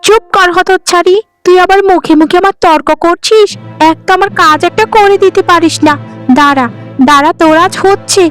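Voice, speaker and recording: A woman voicing a spoiled, bullying young stepsister in a melodramatic cartoon, shrill and threatening. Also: brisk, a bit bright, soft background music. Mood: scornful, bullying.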